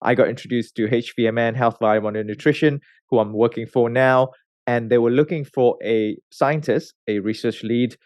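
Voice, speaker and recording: A man in his thirties from Malaysia, a research scientist telling his career story in a relaxed way, with many fillers and restarts. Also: medium pitch, moderate pace, no room sound. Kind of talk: conversational interview answers.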